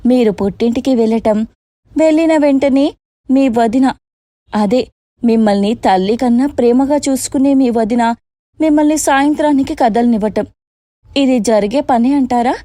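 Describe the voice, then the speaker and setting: A woman's voice: a little high, normal speed, mellow, dry recording, clean studio sound, lightly acted. A woman voicing a crafty young daughter-in-law in a cartoon moral story, coaxing and chatty. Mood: persuading.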